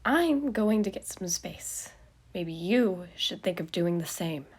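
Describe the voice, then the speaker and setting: A woman voicing a tired young man who tries to soothe a panicking friend, then snaps back curtly, in a husky voice. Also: fairly low pitch, animated, takes it slowly, full character voice.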